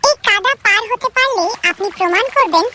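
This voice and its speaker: Woman as a very high-voiced clever little fox. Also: expressive, a little fast, very noisy. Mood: wise, composed. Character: small, clever, wise young fox. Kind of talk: cartoon fable character acting. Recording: loud music under the voice.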